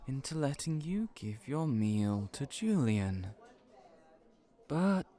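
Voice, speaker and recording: Man voicing a very slow, hesitant snake hybrid. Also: mid-range voice, dark tone. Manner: halting, hesitant, drawn-out, hissing s sounds. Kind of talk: audio roleplay acting.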